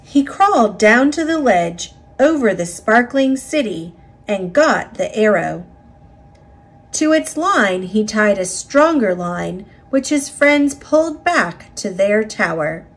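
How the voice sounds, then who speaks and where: A woman reading a children's picture book aloud in a deliberate, dramatic storytelling manner. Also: mid-range voice, sing-song, breathy edge. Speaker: storybook reader.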